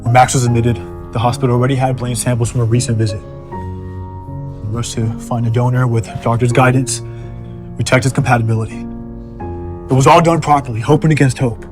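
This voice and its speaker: A man's voice: medium pitch, steady tone, a little fast, long pauses, natural voice. An actor playing a grave, concerned man in an audio drama, speaking in a sombre, careful way. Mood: grave, apologetic. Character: grave, concerned man breaking bad news. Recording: guitar music behind the voice.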